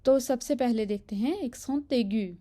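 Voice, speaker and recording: A woman teacher giving an instructional language lesson, explaining step by step in a deliberate, repetitive way and carefully enunciating examples. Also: on the high side, warm tone. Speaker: French teacher.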